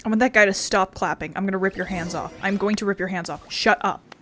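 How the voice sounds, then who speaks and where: Bright, forceful woman playing a game. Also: medium pitch, fast, a little hiss or hum. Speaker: let's player reading game dialogue. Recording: game music behind voice.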